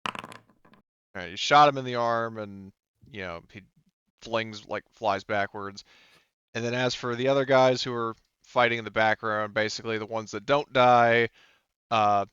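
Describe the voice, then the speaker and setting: A man with a smooth, clean voice runs a tabletop game, talking through rules and combat. He rambles in broken-off phrases, and the recording is dry and a little dull. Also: on the low side, fairly even intonation, a little slow, a bit bright, no room sound. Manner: rambling, talks in broken-off phrases with frequent 'like' and 'you know'. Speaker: game master.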